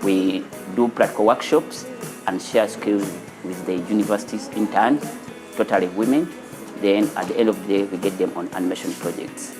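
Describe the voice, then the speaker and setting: A Ugandan man speaks as a studio founder being interviewed for a news feature. His voice sits fairly low. The sound has a long reverb, with music playing quietly underneath.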